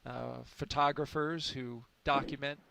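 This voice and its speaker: A man's voice: mid-range voice, fairly even intonation, unhurried, vocal fry, crowd noise. A man giving live launch commentary in a chatty, enthusiastic way, with frequent fillers.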